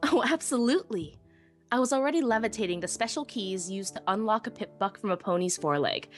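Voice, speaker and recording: Female actor as young pony technician narrating an audiobook, self-deprecating and expressive, mid-range, light music underneath. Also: medium pitch, fairly quick, lightly acted. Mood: flustered, eager. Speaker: first-person narrator. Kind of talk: dramatic live audiobook reading.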